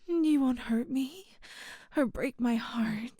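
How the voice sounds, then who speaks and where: A woman's voice: high voice, warm tone. A woman playing a flirtatious, possessive boss smitten with an employee, speaking in a coy, teasing way in an intimate ASMR audio roleplay. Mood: smitten, intense. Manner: slow, coy, teasing, breathy pauses.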